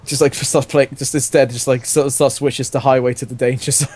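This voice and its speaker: A man's voice: mid-range voice, little pitch movement, a little fast, darkish tone, a little hiss or hum. A man doing a casual comic read-aloud as a host, jokey and often breaking off from the reading to riff. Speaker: host reading fan fiction aloud while gaming.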